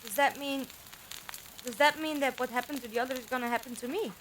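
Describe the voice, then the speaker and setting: A woman acting in a fan audio drama as a bewildered, earnest, quick-witted companion who questions everything. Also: high-pitched, steady tone, fairly bright tone, slight background noise. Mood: confused, anxious.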